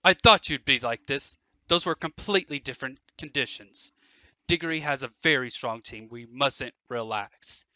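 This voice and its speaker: Guy doing driven teen sports captain, emphatic, sharp medium-pitched voice, audio drama. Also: normal speed, dry recording, rough recording. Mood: livid, urgent. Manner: urgent, emphatic. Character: intense, driven teenage boy sports captain.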